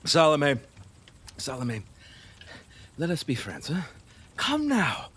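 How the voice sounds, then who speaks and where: An actor playing a lustful, fearful, superstitious middle-aged king in an audio play, husky, wheedling and erratic, with nervous laughs and halting repeats. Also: fairly high pitch, wildly swooping pitch, fairly bright tone, exaggerated voice. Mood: wheedling, pleading. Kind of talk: theatrical audio play acting.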